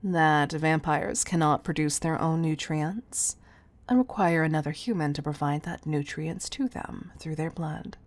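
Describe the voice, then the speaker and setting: A woman playing a stern, commanding chief executive in a scripted audio roleplay, exasperated and deliberate in her emphasis. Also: deep voice, no room sound. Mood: measured, lecturing. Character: stern, commanding female CEO scolding an employee.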